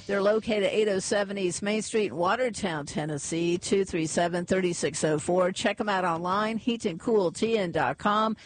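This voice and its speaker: A woman's voice: medium pitch, fairly even intonation, poor audio. A woman hosting a morning radio show, chatting casually with a co-host and reading sponsor spots and community notices. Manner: chatty, laughs easily, reads ads and community notices briskly. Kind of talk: casual on-air chat and live sponsor reads. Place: radio studio. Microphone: studio microphone.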